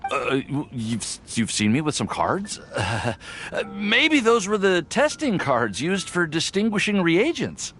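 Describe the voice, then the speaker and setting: Awkward, high-pitched young alchemist guy. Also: takes it slowly, slight background noise, full character voice. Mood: flustered. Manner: hesitant, friendly, animated. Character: affable, awkward young male alchemist, chatty and easily flustered. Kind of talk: video game character acting. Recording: music playing behind the voice.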